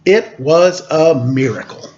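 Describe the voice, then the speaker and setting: A man hosting a children's story time, reading a picture book aloud in an expressive, dramatic way with casual asides. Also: on the high side, slow, lo-fi sound. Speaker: storyteller and show host.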